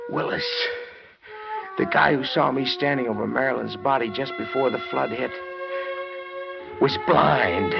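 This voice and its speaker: A man's voice: on the low side, vocal fry. An actor playing a guilty, evasive man in a vintage radio crime drama, hesitant in his speech, on a dull old recording with music behind him. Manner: hesitant, stammering when questioned. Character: guilty man narrating, nervous, evasive, feigning confusion.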